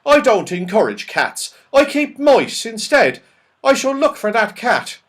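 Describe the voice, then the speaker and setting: A man voicing an excitable, muddled, friendly old man in a children's storybook, exclaiming loudly. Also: very high voice, unhurried, pitched above the performer's own voice, exaggerated voice. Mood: alarmed, vexed.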